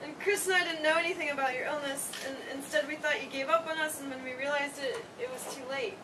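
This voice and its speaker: A distant, noisy stage recording carries a high-pitched young woman played by an actress. She is an earnest, emotional college student in a family play, pleading with her mother. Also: fairly even intonation, fast, natural voice.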